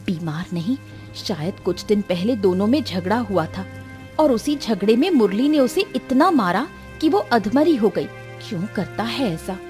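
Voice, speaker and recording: A woman voicing an earnest, gently reproving village wife and mother in an animated moral story. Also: medium pitch, moderate pace, soft background music, lightly acted. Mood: concerned, disapproving.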